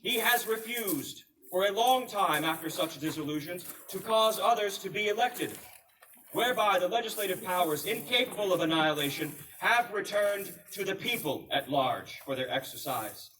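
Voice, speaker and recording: A man reenacting a resolute eighteenth-century American statesman delivers formal oratory in a high-pitched, ringing voice. His delivery is solemn and declamatory, projected out to be heard. The microphone sits some way off from him. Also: expressive, normal speed, pushed and bright, far from the mic, a little hiss or hum, poor audio. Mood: indignant, resolute. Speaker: historical reenactor. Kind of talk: formal public oratory, reading a proclamation aloud.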